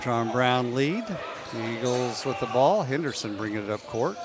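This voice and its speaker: A man giving rapid, clipped play-by-play commentary on a basketball game. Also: mid-range voice, over a noisy crowd. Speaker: play-by-play announcer.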